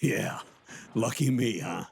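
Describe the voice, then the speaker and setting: An actor playing a measured, authoritative older colonel in a video game, with a husky voice and an American accent. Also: male voice, lowish voice, a little mellow, a little hiss or hum, full character voice. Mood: guarded, defensive. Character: steady older male colonel briefing his agent. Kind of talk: video game cutscene acting.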